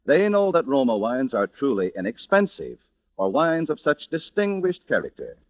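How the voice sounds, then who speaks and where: A polished male announcer delivers a formal 1940s radio advertisement. His intonation rises and falls in a lively way. The sound is dry and muffled, like an old broadcast. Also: mid-range voice, normal speed, no echo. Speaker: commercial announcer. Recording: dull, lo-fi vintage radio recording.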